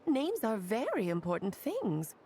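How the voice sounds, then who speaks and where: A woman's voice: a little high, huge pitch swings, unhurried, mellow, slight background noise, full character voice. A woman voicing a self-assured, playful mage in a video game, chatty and teasing as she lectures. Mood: lecturing. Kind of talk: animated video game dialogue.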